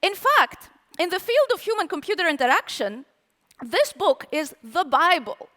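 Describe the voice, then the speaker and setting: A woman with a very high, lively voice speaks from a conference stage, her pitch bouncing up and down as she teases the crowd and quizzes them. Her words ring out with a long hall reverb behind them. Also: normal speed. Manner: animated, playful, asks the audience questions. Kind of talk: live tech conference talk. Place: conference hall with live audience.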